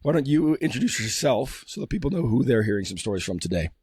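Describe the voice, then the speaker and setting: A man hosting a podcast interview while losing his voice from a cold, chatting in a friendly, halting way with many fillers. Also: mid-range voice, sing-song, a little mellow, husky, dry recording, studio quality. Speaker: podcast host. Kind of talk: casual interview chat.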